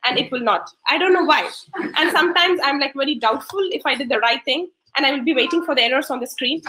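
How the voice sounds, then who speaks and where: A woman's voice: high voice, fast, pushed and bright, a little hiss or hum, poor audio. A woman presenting her coding project on a video call. She laughs often and speaks in an earnest way. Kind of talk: online presentation talk.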